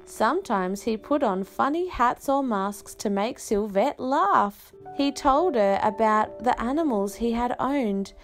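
Slow, high-pitched woman reading a storybook. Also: animated, soft background music. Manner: unhurried, careful phrasing with dramatic pauses. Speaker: storyteller reading a picture book aloud. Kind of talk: expressive children's read-aloud narration.

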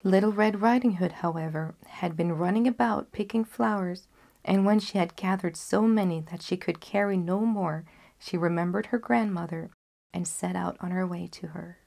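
A young adult woman reading a fairy tale aloud in an expressive storytelling style. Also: fairly low pitch, moderate pace, vocal fry.

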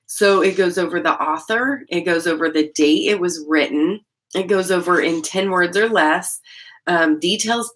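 Middle aged american lady, kinda deep voice, rambly casual bible vlog host. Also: fairly bright tone. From Idaho, United States. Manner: rambling, chatty, often breaking off and restarting sentences. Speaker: host of a Bible-reading channel. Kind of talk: casual devotional vlog chat. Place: small indoor room at home.

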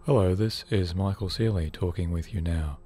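A man narrating a guided sleep hypnosis session in a soothing, measured, deliberate way, as a hypnotherapist. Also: sits low, warm tone. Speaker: hypnotherapist host. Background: background music.

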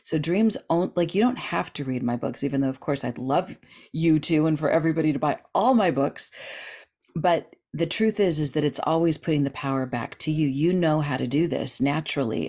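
Low-voiced animated woman guest. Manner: animated, gestures with hands while talking. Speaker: dream expert guest. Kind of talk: casual interview chat.

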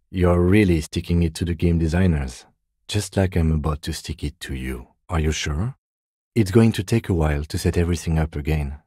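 An actor playing a calm, methodical middle-aged police lieutenant in a video game, measured, understated and dryly wry, with a husky voice. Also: male voice, sits low, no echo, clean studio sound. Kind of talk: video game character dialogue.

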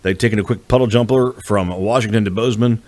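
A man's voice: lowish voice, fast, a little mellow. A man reading a novel as a scripted audiobook narration.

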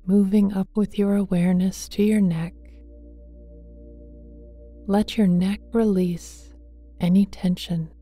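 A woman guides a meditation in a very dark, soft, mellow voice. She speaks slowly and gently, hushed and often breathy to the edge of a whisper, addressing the listener with tender affection. Soft music plays behind her. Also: mid-range voice, fairly even intonation. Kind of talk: guided meditation, slow and soothing. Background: soft meditation music with singing bowls.

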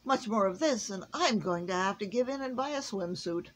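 A woman's voice: mid-range voice, lively intonation, moderate pace, lightly acted. Jolly old Santa Claus, weary but hearty, storybook voice, played by a woman reader. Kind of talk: storybook reading.

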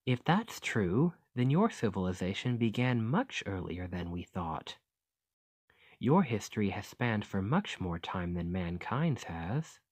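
Man acting a gentle, low-voiced scholarly archaeologist. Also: very animated, a little slow, no room sound. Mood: astonished. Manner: formal, articulate, musing delivery. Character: gentle, curious fantasy archaeologist, courteous and fascinated scholar. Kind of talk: ASMR roleplay acting.

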